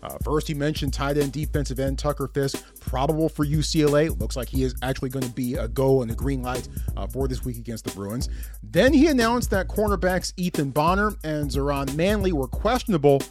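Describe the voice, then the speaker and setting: A man's voice: medium pitch, lively intonation, fairly quick, a little mellow, soft background music. A middle-aged man presenting a sports podcast with the upbeat, emphatic delivery of a play-by-play announcer.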